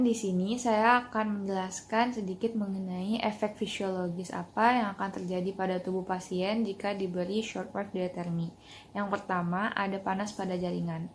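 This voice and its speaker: A young woman, a student explaining in a class presentation. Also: mid-range voice. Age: young adult. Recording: clear background noise.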